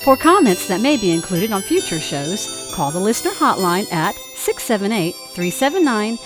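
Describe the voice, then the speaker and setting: A woman announcer reading the show credits in a formal, even manner. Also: medium pitch, normal speed. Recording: music bed under the voice.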